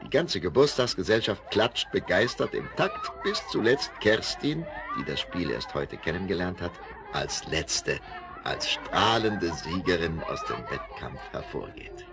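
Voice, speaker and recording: Very deep storybook narrator, man. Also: big pitch swings, measured pace, crowd noise. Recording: vinyl audio drama with music behind.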